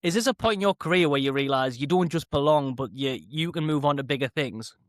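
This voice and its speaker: A man's voice: a little high, a little fast, no echo, studio quality. A British man hosting an interview, asking long, chatty questions that tend to drift off track. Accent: British English. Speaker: podcast host. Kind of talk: casual interview.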